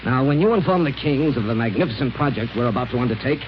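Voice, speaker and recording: An actor playing a scheming male space villain posing as a heroic captain, commanding and measured in 1930s radio serial style. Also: medium pitch, lively intonation, lightly acted. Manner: commanding, measured, smoothly deceptive. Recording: noisy, dull 1930s radio recording.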